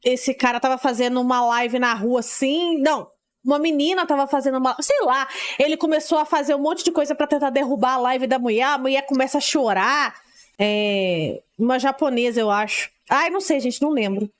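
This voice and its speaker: A woman's voice: sits high, a little fast. A woman streamer reacting casually to a video, chatty and hesitant, with disgusted asides.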